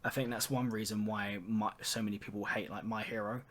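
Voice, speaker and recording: A man's voice: fairly low pitch, little pitch movement, a little fast, a crowd in the background. A man hosting a casual podcast, chatting about anime in a teasing, sweary, slangy way. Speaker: podcast host. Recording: noticeable background noise.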